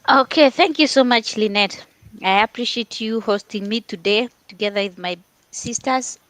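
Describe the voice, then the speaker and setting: A woman's voice: on the high side, moderate pace, fairly bright tone, no echo, rough recording. Kenyan woman, dry close sound. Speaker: panelist. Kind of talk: welcoming self-introduction to an audience.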